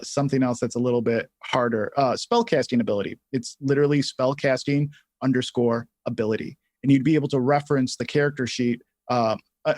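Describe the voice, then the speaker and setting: Guy hosting a laid back software tutorial stream, kinda halting, thinking out loud, close dry mic. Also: medium pitch, dry recording. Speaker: livestream host and software instructor. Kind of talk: casual live software tutorial.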